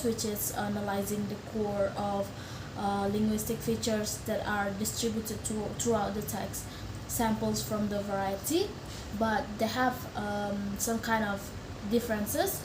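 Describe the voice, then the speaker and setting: A young woman from Indonesia whose first language is Indonesian, giving a recorded class presentation in a hesitant way, with many fillers and restarts. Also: mid-range voice. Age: young adult. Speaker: student. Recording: echoey room with background noise.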